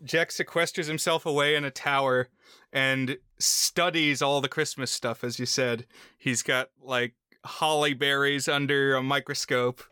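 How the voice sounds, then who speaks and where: A man's voice: medium pitch, steady tone, measured pace, pushed and bright, smooth, no echo, clean studio sound. An American man co-hosting a casual film discussion in a wry, articulate, explanatory way. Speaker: podcast co-host.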